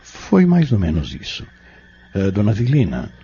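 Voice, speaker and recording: An actor playing a kindly, solemn pharmacist and healer in a Brazilian audio drama, speaking in formal, measured, preacherly phrases with a Brazilian accent. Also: male voice, fairly low pitch, wildly swooping pitch, mellow, slight background noise. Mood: calm, reassuring. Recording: muffled, dull lo-fi recording.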